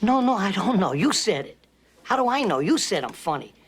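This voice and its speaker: A man's voice: high-pitched, big pitch swings, fairly quick. An actor playing a volatile male gangster who presses with confrontational questions, heard as a film clip replayed from a distance. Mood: menacing.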